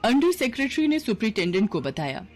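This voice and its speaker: A woman's voice: on the high side, big pitch swings, a little fast, darkish tone, faint music behind. A woman narrator reading a scripted story for a cartoon video.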